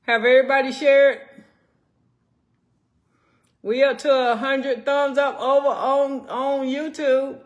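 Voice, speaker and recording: A man's voice: very high voice, level tone, slow pace, long pauses, lo-fi sound. A man co-hosting a casual live Q&A, talking in a laid-back way. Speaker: co-host of a live Q&A stream. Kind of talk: casual live-stream chat.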